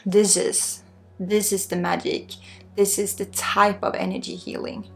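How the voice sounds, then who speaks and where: Slow, creaky-voiced Swedish woman. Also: medium pitch, level tone, soft background music. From Sweden. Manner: earnest, encouraging, halting phrasing. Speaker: spiritual guide and energy healer. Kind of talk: unscripted spiritual guidance, ASMR healing session.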